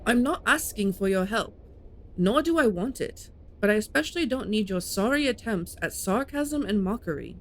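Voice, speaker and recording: A woman's voice: mid-range voice, expressive, normal speed. A woman playing a proud, determined, coldly blunt character in a fantasy audio drama. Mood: defiant.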